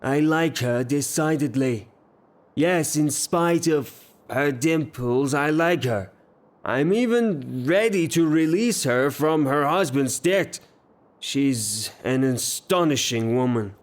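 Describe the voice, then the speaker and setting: An actor playing a hot-tempered, blustering rustic gentleman in a theatrical audio drama, declaiming his lines. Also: fairly high pitch, expressive, takes it slowly. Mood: smitten, admiring.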